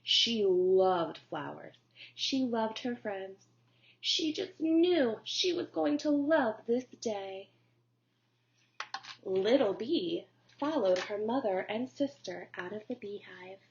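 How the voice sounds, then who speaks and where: A woman teacher reading a children's picture book aloud in a slow, gentle storytelling manner. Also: mid-range voice, lively intonation, creaky. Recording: dull lo-fi recording.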